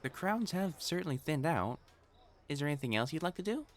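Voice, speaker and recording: A man's voice: on the high side, big pitch swings, a little fast. A man playing a prim young matchmaker fiancé in a romantic audio roleplay, formal and earnest in speech, easily flustered. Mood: earnest, tender. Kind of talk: intimate scripted romance roleplay acting.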